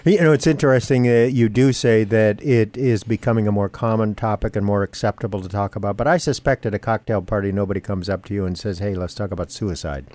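A man's voice: fairly low pitch, steady tone, fast talker, hardly any pauses, darkish tone, no room sound. A man hosting a radio interview program in a conversational style. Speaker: radio host.

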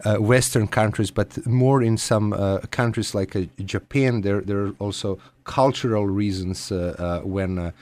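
A man with a Croatian accent answering radio interview questions as an expert guest, haltingly and with frequent pauses. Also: fairly low pitch, fairly even intonation, darkish tone. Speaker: guest psychiatrist and researcher.

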